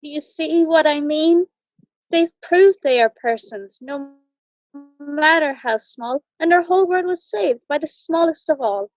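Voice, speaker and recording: Kind storybook elephant female reader, high earnest voice, dry and slightly muffled. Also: measured pace, no echo. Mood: gently pleased. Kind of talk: storybook character reading.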